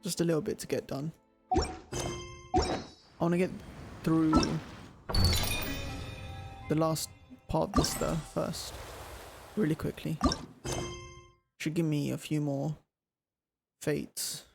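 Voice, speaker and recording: A man's voice: on the high side, little pitch movement, takes it slowly, gravelly, pauses often. A man streaming a video game, thinking aloud in short, casual, fragmentary comments. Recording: stream mic under loud game music.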